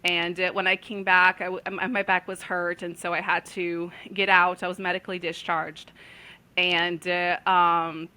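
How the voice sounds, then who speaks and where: Bright-voiced woman. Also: fairly low pitch, flat delivery, clear, resonant tone. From Brazil. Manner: earnest, with many filler pauses. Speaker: guest, intuitive healer. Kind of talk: casual storytelling.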